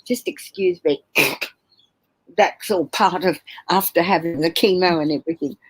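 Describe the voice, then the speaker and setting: A woman in her seventies telling her personal story as an interview guest on a video call from home, earnest and halting with many fillers and restarts. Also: on the low side, husky. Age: 70s. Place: home room.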